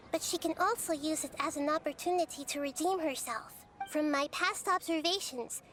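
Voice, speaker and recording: High-voiced, wise little girl deity. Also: fairly bright tone. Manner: measured, thoughtful, explanatory. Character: small, wise, gentle girl deity, calm observer. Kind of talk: video game voice acting.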